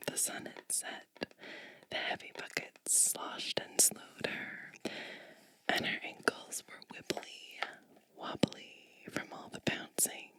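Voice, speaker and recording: A woman whispering a bedtime storybook in an ASMR style, gentle and unhurried, close to the microphone. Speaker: ASMR host reading a storybook aloud.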